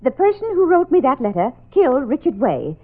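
Prim, indignant Miss Abigail. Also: female voice, high voice, wildly swooping pitch, brisk, heavily acted. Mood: anxious. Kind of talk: 1940s radio acting. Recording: tinny old radio broadcast.

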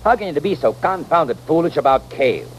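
An actor playing a sardonic Old West town doctor with a deadpan, plain-spoken way of talking in a radio western. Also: male voice, on the high side, a little fast, natural voice. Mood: exasperated. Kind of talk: 1940s-50s radio western acting. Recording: faintly noisy vintage radio recording.

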